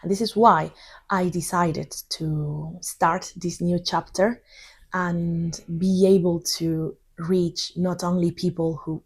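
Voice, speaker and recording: A woman's voice: on the low side, steady tone, takes it slowly, breathy edge. A young woman from southern Spain, a native Spanish speaker, talks through an informal English lesson in a thoughtful, rambling way with many fillers. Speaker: English teacher.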